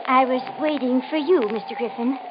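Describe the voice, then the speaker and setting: An actress in an old-time radio mystery playing a nervous, insistent, ominous woman with a halting, pleading, melodramatic delivery. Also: sits high, close to an everyday voice. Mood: uneasy explaining. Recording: muffled, hissy old radio recording.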